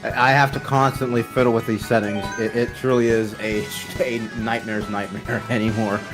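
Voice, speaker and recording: A man's voice: medium pitch, little pitch movement, moderate pace, music in the background, rough recording. Rambling, self-mocking young man streamer. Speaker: streamer co-host. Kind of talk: casual live stream chat.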